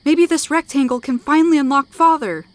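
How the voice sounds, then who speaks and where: A woman's voice: sits high, fairly quick, voice pushed up, natural voice. A woman voicing a tough, anxious young female tribe leader in a dramatic sci-fi story, speaking with urgent emphasis.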